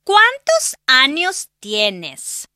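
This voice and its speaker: Man as an eager fourteen-year-old girl, high falsetto, curious. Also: big pitch swings, moderate pace, sharp tone, no room sound, studio quality, big-room sound, full character voice.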